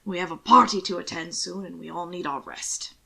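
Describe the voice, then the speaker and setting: Woman voicing a creaky, sorrowful old duke. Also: lowish voice, bright, forceful tone, pitched below the performer's own voice, heavily acted. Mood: somber, wistful. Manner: slow, formal, wistful. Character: old, somber, grieving English duke, a grandfather.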